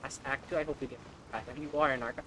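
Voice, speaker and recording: A man's voice: medium pitch, fairly even intonation, racing, background noise. Casual young man streaming a game. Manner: informal, sweary. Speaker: game livestreamer. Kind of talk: casual reactive gameplay commentary. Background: game music.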